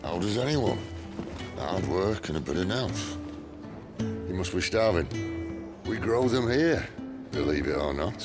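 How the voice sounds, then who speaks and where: Casting: weathered outlaw chief for a game, wry and worldly, rock-bottom pitch with lively swings. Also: male voice, music in the background. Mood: easygoing. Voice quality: deep, gravelly. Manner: wry, unhurried, colloquial. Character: weathered middle-aged male outlaw leader, wry and worldly. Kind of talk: video game cutscene acting.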